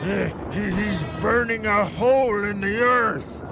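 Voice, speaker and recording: An actor playing a weary, exasperated, gravelly-voiced man in an old radio drama. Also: sits high, a little slow, muffled recording, over loud music. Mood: grim, exasperated.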